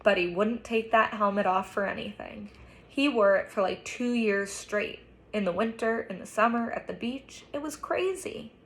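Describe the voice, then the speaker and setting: A woman's voice: medium pitch, normal speed, natural voice, low-quality recording. A woman voicing a frank, slightly proud teenage girl in a story reading. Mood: fond, amused.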